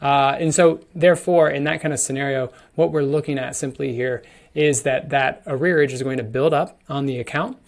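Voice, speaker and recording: A man giving a conversational, explanatory walkthrough of software, as a product demonstrator would, stressing key words as he goes. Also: mid-range voice, vocal fry. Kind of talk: screen-share software demo, explanatory walkthrough.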